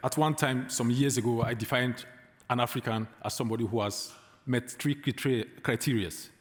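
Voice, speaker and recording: A man on a discussion panel, talking in a measured way and laying out his points one by one. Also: mid-range voice, fairly even intonation. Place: stage in a large hall. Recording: long hall reverb.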